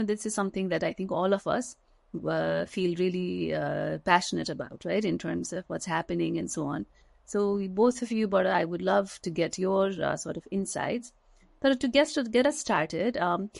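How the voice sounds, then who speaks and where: A woman in her forties hosts a podcast over a video call from a small room at home. Her voice has a gravelly edge and sits close and dry, with no room sound. She chats loosely, with many fillers, moving between English and Nepali. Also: fairly low pitch, no echo. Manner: chatty, many "you know" fillers. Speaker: podcast host. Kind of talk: casual interview hosting, mixing English and Nepali.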